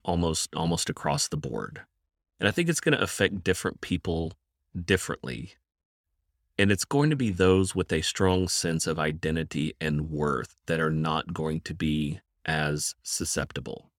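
A very low-pitched man speaks on a dry, close microphone. He is a counselor giving careful, thoughtful answers as a podcast guest, often doubling a word as he works out a point. He sounds middle-aged. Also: expressive, no room sound. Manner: measured, thoughtful, repeats words while thinking.

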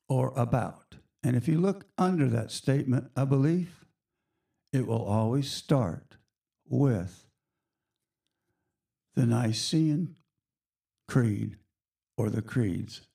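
A hoarse man hosting a spiritual radio show, talking in a slow, reflective, chatty way to his listeners. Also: mid-range voice, warm tone. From United States.

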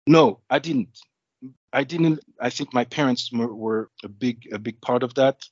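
A man from Belgium telling his life story in an interview. He pauses often and restarts his phrases as he thinks. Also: mid-range voice, breathy edge, no echo. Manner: thoughtful, with frequent restarts and asides. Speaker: guest. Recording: dull, slightly muffled audio.

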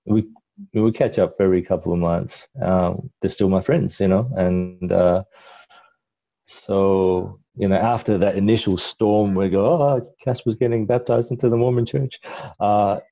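A man's voice: low-pitched, fairly even intonation, a little slow, vocal fry, dark tone, dull audio. A middle-aged man from Malaysia, a guest in a casual interview, telling his story in a rambling way with lots of fillers and restarts.

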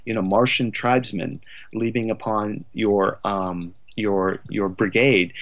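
A man's voice: lowish voice, steady tone, slow, a bit bright, lo-fi sound. A man speaking as an interview guest in a reflective, discursive and articulate conversational way. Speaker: podcast guest, writer.

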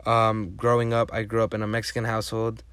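Guy hosting his own podcast, spanish is his first language, rambly and excited, keeps restarting, dry sound. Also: on the low side, brisk, clear, resonant tone, no echo. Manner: rambling, enthusiastic fan talk with many restarts. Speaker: podcast host. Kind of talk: casual solo podcast monologue.

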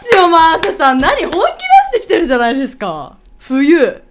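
Very high-pitched, lively woman, effusive co-host. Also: fairly quick, background noise. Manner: giggly, effusive, polite junior-style speech. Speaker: podcast co-host. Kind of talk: casual comedic banter.

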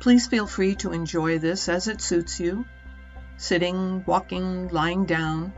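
A middle-aged woman narrating a guided meditation as a teacher, gently and in short measured phrases with long pauses. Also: lowish voice, steady tone, lo-fi sound, soft background music.

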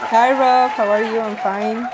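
A woman's voice: fairly high pitch, steady tone, unhurried, heavy background noise. Woman with over music. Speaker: podcast co-host. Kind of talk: guest introduction and interview questions.

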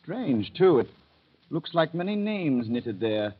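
A man acting in a 1930s radio drama as a sly, insinuating informer who probes with theatrical, deliberate pauses. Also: on the high side, very animated, breathy edge, exaggerated voice. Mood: sly, probing. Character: sly, insinuating male informer, probing and needling. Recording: dull, muffled vintage radio recording.

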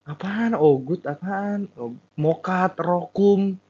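A young man talks in a relaxed group chat, his voice a touch higher than most men's. He stumbles and repeats himself as he tells his story. The sound is dull and lo-fi. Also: slow. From Sumatra. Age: young adult. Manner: animated, hesitant storytelling with repeated words. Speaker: student. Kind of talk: casual chat.

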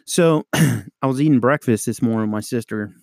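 A man's voice: mid-range voice, fairly quick, vocal fry, a little mellow, no echo, studio quality. A young adult man, a police officer co-hosting a podcast, chatting casually, swearing often and laughing through stories. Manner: swears a lot, laughs, tells war stories. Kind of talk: casual profane chat.

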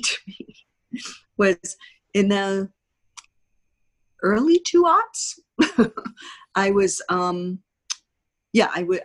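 A woman speaking as a podcast guest in a casual interview, telling anecdotes in a chatty way and acting out remarks. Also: on the low side. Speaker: podcast guest, theatre producer. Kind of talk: animated storytelling in casual interview.

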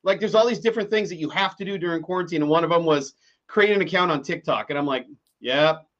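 A man co-hosting a casual podcast chat, talking in a lively way. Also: fairly high pitch, fast talker. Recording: dull lo-fi sound.

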